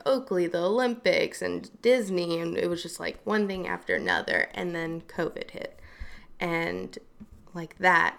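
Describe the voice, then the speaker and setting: A woman with a fairly low voice and a gravelly, fry-tinged edge talks in a relaxed group conversation. She tells her story in an animated way, full of fillers and quick asides. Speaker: hairstylist and makeup artist guest. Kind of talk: casual podcast chat.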